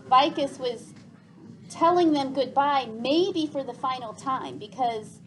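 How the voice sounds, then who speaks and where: A woman speaks as a teacher leading a read-aloud and class discussion. Her voice sits a little high and moves slowly, restating points for the group. There is clear noise behind her. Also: animated, poor audio. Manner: restates and sums up what others say.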